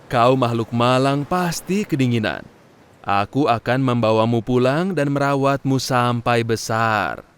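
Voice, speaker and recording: A man voicing figures in a children's cartoon story with a gentle storybook delivery. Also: medium pitch, a little slow, smooth. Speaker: voice actor for several animal and human figures.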